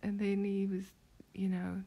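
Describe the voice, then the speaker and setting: A woman with a South African accent rambling softly through a strange dream, often half-whispering and hesitating with many fillers. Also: mid-range voice, completely flat delivery, measured pace, gravelly, husky. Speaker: vlogger.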